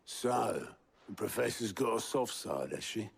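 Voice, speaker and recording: An actor playing a plain-spoken, teasing working-class comrade in a game, with a British accent and a gravelly, husky, colloquial delivery. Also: male voice, on the low side, lively intonation, measured pace, heavily acted. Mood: wry, friendly. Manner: colloquial, wry. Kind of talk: naturalistic game cutscene acting.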